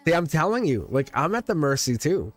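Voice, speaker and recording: A man's voice: fairly high pitch, sing-song, a little fast. A man giving a live-streamed tarot reading, chatty and teasing, laughing often as he talks to his viewers. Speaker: tarot reader.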